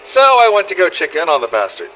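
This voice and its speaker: An actor playing a foul-mouthed, cynical zombie-apocalypse survivor, narrating a wry audio diary through an old cassette recorder filter. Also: male voice, on the high side, wildly swooping pitch, fast talker, bright, forceful tone, heavily acted, poor audio. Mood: angry, exasperated. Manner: sweary, sardonic, world-weary storytelling. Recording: old cassette tape with faint noise.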